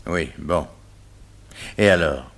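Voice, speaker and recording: An actor playing a skeptical, formal coroner in a French radio mystery play, questioning and doubtful. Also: male voice, a bass voice, slow pace, creaky, a bit bright, full character voice. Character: skeptical, formal male coroner, easily astonished.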